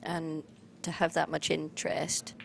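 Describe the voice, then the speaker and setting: Low, gravelly woman commentating pool. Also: monotone, unhurried, a little hiss or hum. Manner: chatty, trailing off with fillers.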